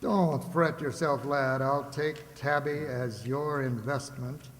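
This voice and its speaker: A man's voice: mid-range voice, takes it slowly, close to an everyday voice. A man playing a kindly, hearty sea captain in a children's radio play. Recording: echoey hall, faint noise.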